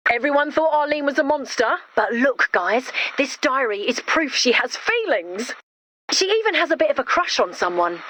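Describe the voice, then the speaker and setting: A woman's voice: high-pitched, very animated, normal speed, fairly bright tone, slight background noise. A woman playing an eager, kindhearted young inventor in a video game, bubbly and theatrical. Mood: earnest, defensive. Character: eager, kindhearted young female inventor, excitable and well-meaning. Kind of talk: animated video game character dialogue.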